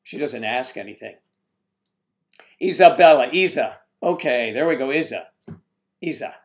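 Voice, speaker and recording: A man's voice: medium pitch, lively intonation, a little slow. A man hosting a casual livestream from home, rambling as he greets chat viewers and stumbles over their names.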